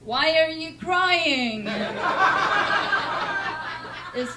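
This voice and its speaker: A young woman from Sweden with a Swedish accent, doing a deadpan, self-mocking stand-up set in a comedy club. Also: very high voice, drawn-out words. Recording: noisy club recording.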